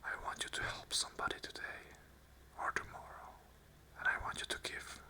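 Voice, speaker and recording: An ASMR host whispering an unscripted monologue of earnest, repeated affirmations in short phrases. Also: a little fast. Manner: whispers the whole way, soft and unvoiced.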